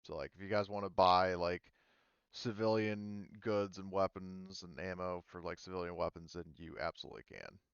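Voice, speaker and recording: Muffled, smooth low-voiced man running a game. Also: level tone, fairly bright tone, no echo. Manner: rambling, halting explanations. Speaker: game master. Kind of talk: casual tabletop game talk.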